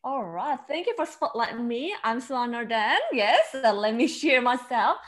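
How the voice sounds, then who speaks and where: A woman from South Korea with a Korean accent, an interview guest telling her personal story earnestly in halting, repetitive non-native English. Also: a little high, lively intonation, fairly bright tone. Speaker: podcast guest, coach.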